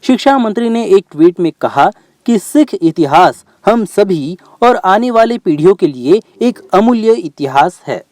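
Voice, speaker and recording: Male news reporter, fairly high voice, very animated intonation, scripted narration. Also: measured pace, hardly any pauses, no room sound.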